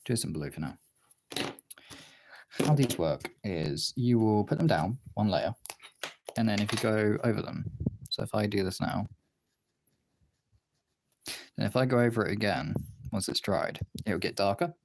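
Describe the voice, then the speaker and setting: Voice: sits low, lively intonation, moderate pace, pauses often, noisy background. A livestream host with a congested, tired voice, chatting casually and informally to viewers while drawing, rambling and swearing now and then.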